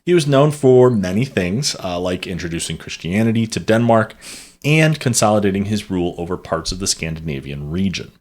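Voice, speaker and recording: A man's voice: deep voice, sing-song, brisk. A man co-hosting a casual history podcast, presenting the topic with lots of fillers, restarts and self-corrections.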